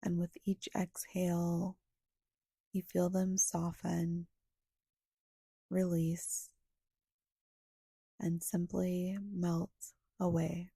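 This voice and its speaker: A woman leads a guided meditation in a soft, husky, breathy voice that often slips toward a whisper. She speaks very slowly and evenly, with long pauses between short, soothing phrases. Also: on the low side, monotone, no echo.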